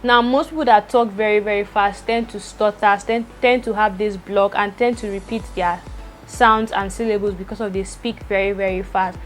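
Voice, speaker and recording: A young woman vlogging from home, talking very fast with a stutter and repeating words. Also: medium pitch, steady tone, soft background music. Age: young adult. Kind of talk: personal vlog talk to camera. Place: indoors at home, rain outside.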